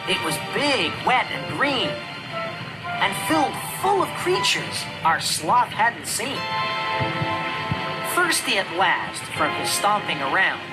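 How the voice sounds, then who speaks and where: A storyteller narrating a rhyming children's story in an expressive, measured storybook delivery. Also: mid-range voice, poor audio. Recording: loud music under the voice. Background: music.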